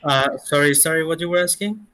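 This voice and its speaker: A man running a tabletop game online as game master, casual and somewhat halting. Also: on the high side, pushed and bright. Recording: background noise with mouse clicks.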